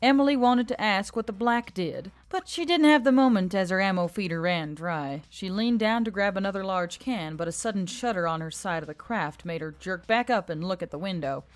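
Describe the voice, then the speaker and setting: A woman's voice: medium pitch, brisk, few pauses. A woman narrator reading a dramatic action story from a script. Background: faint background music.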